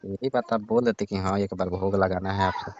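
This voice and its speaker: A man's voice: deep voice, flat delivery, brisk, background noise, natural voice. A man acting a cocky young married son-in-law in a staged comic phone call, asking blunt, needling questions. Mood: probing. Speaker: comedy call actor. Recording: dull lo-fi phone call recording.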